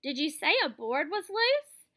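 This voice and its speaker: A woman's voice: sits high, big pitch swings, measured pace, pushed and bright, exaggerated voice. A woman voicing a lonely, bored young male pig with a plaintive tone. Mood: glum. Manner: whiny and plaintive.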